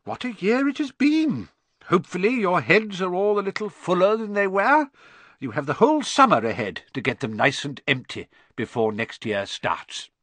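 A kindly old storybook headmaster, acted by a male narrator, addresses his listeners with grand ceremony. His delivery is measured and whimsical, lifting high and moving freely up and down. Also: sing-song, a bit bright, dry recording, exaggerated voice. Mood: cheerful, ceremonious. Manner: grand, measured speech-making.

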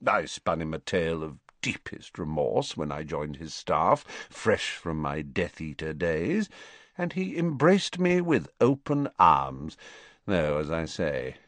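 A man voicing a cold, calculating male wizard in an audiobook, speaking in a measured, deliberate way. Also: low voice, dry recording, full character voice. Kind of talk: audiobook character voice.

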